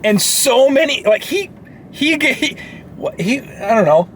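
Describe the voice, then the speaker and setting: A man's voice: very high voice, measured pace, rough recording. A man in a casual, unscripted movie review chat, talking bluntly and swearing freely as a co-host. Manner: blunt, profane. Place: inside a car. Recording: steady background noise, vehicle ambience.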